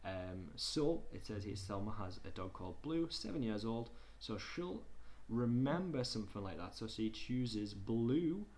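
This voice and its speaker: A man's voice: on the low side, animated, measured pace, few pauses, darkish tone. A man tutoring in an informal online lesson, reading slides aloud and commenting in a hesitant, conversational way, often repeating himself. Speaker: tutor presenting a digital skills session. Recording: clear background noise.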